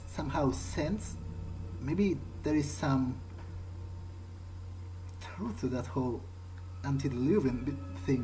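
A man playing a cocky, sardonic vampire who thinks aloud haltingly in a video game playthrough. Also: medium pitch, mellow, lightly acted, low-quality recording. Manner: musing, halting, muttered asides. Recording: clear background noise, game ambience.

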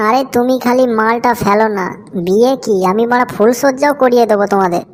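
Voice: mid-range voice, quick pace, natural voice. A performer voicing a cheeky young matchmaker in a comic cartoon, teasing and wheedling in crude slang. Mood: wheedling salesmanship. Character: cheeky, foul-mouthed young matchmaker hustling an old customer.

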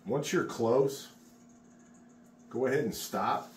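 A man's voice: medium pitch, slow. A middle-aged man walks through a project step by step, chatty and encouraging as he works. He is recorded on a phone in an unfinished garage with plenty of room echo and a faint hiss behind him. His voice sits in a plain middle range. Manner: chatty and encouraging, talks while working. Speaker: contractor teaching epoxy work. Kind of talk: casual how-to demonstration.

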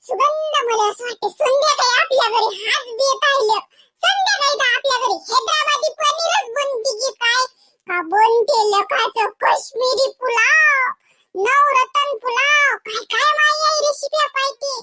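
Cartoon schoolboy, super high n bright, cheeky flatterer, hammy, varhadi marathi accent. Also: no room sound, studio quality, exaggerated voice. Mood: mock praise. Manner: theatrical, exaggerated, drawn-out words. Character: cheeky scheming schoolboy son, mock-earnest flatterer. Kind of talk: comedy cartoon monologue and dialogue.

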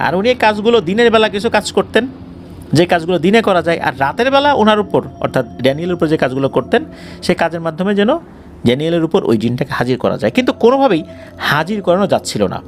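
A man with a fairly high, very lively voice hosts a horror storytelling show. He addresses listeners dramatically, stressing phrases to build suspense. There is noticeable ambient noise behind him.

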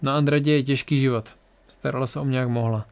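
A man voicing a quiet, hurt young spacer. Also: medium pitch, fairly even intonation, gravelly, lightly acted. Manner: quiet, earnest.

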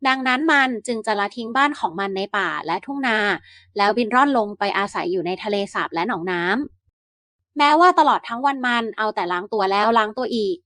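A woman narrating Aesop-style fables for bedtime in an even, measured reading. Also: medium pitch, dry recording, studio quality. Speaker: storyteller.

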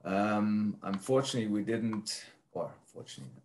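A man presenting slides informally, speaking haltingly with frequent ums as he explains his work. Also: on the low side, animated, a little mellow, slight background noise. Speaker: presenter, prefab builder.

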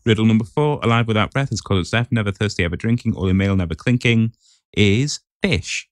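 Lowish-voiced man, dry sound. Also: fast talker, no room sound. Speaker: podcast co-host and quizmaster. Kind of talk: casual quiz hosting.

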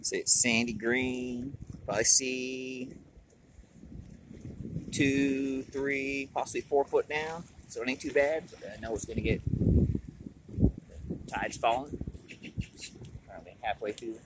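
A man's voice: mid-range voice, slow, pauses often. A man giving a plain, matter-of-fact fishing report, filmed outdoors on an ocean pier with a handheld phone. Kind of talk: casual fishing report while filming. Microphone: handheld phone camera mic. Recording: loud wind and surf noise.

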